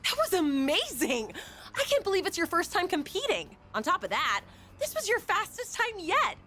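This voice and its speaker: An actress playing a peppy, encouraging teenage team manager in a video game, bubbly and enthusiastic. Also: female voice, very high voice, wildly swooping pitch, normal speed, pushed and bright, faint music behind, heavily acted. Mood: upbeat.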